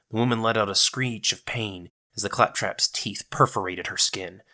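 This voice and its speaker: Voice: sits low, bright, forceful tone. A narrator reading a scripted action story in a dramatic style.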